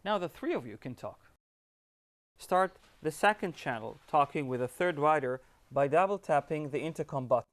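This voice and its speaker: Man presenting a tutorial, slightly high voice. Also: animated, normal speed, a bit bright. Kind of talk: scripted instructional narration.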